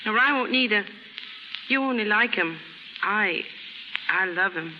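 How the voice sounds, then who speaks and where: A woman's voice: mid-range voice, expressive, measured pace, close to an everyday voice. A brash, lovelorn young American chorus girl played by a woman in a 1930s radio play. She talks in slangy, unschooled phrases. The old broadcast is hissy and muffled, with long reverb. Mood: lovelorn, candid. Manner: slangy, ungrammatical.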